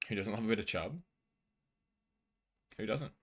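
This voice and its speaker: A man's voice: lowish voice, animated, normal speed, fairly bright tone. An Australian man live streaming, chatting casually and jokily with viewers as he reads out their messages.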